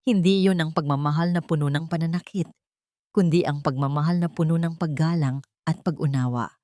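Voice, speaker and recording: A woman's voice: sits low, fairly even intonation, fairly quick, dark tone, no echo, clean studio sound. A woman reading a first-person story as scripted audiobook narration, in an earnest, dramatic storytelling manner.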